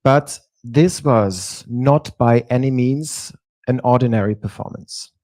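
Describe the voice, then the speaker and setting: A man, giving a prepared stage talk as a drag performer and telling his story in a measured way. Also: medium pitch, a little mellow, no room sound.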